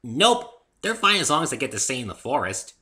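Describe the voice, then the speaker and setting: Man putting on a high-pitched bubbly young mare. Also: very animated, a little fast, sharp tone. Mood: cheerful. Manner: cheerful, exclamatory, tactless. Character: bubbly, innocent, excitable young female cartoon pony. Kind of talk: amateur dramatic story reading.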